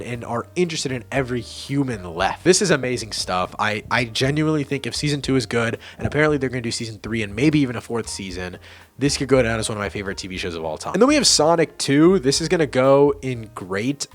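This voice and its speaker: Opinionated young man ranking movies. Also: medium pitch. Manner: enthusiastic, opinionated, swears casually, frequent restarts. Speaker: movie reviewer. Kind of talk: casual ranking commentary to camera.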